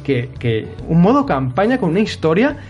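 A man's voice: high voice, sing-song, normal speed, darkish tone, faint music behind. A young man from hosting a casual video game chat, rambling with restarts and swearing. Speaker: podcast host.

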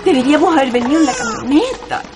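A woman's voice: sits high, very animated, fast, music in the background. A woman playing a caring, insistent city woman in a radio drama, with a Chilean accent and an earnest, urging delivery. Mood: worried. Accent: Chilean Spanish.

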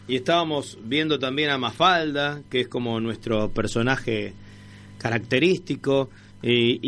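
A man with an Argentine accent hosting a conversational radio interview, often repeating words in a halting way as he goes. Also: fairly high pitch, a bit bright. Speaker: radio show host.

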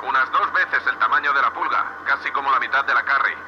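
A man's voice: mid-range voice, fast talker. A man with a Castilian Spanish accent playing a blunt, foul-mouthed space salvage boss in a sci-fi audio drama, heard over a radio link. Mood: awed, excited. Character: gruff, sweary space salvage boss, awed by alien tech. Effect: radio comms filter. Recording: muffled, noisy space-suit radio link.

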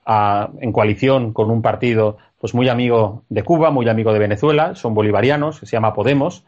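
A man's voice: lowish voice, a little fast, a little mellow, dull audio. A man with a Peninsular Spanish accent interviewing a guest, talking at length with many fillers. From Spain. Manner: discursive, rambling, with fillers. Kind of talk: political interview.

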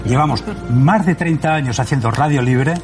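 A man from the radio theatre world speaks conversationally with a Spain Spanish accent. His mid-pitched voice sits over clearly audible music. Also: moderate pace, a little mellow. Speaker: radio theatre maker being interviewed. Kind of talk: conversational explanation.

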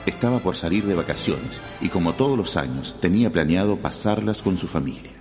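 A man's voice: low-pitched, lively intonation, brisk. A man giving solemn, scripted radio drama narration as a storyteller. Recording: long reverb over prominent music.

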